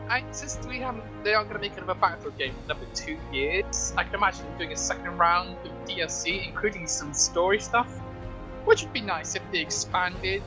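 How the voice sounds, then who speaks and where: A man giving casual, somewhat halting commentary over a game. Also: sits high, rough recording. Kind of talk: casual chat over gameplay. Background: music.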